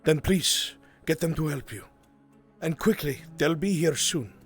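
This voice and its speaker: A man's voice: a little high, a little slow, voice pushed up. Man playing a grim, solemn fantasy avenger, music beneath. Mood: urgent. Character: grim, pleading man seeking revenge, offering silver. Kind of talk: live VR roleplay acting. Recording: music under the voice.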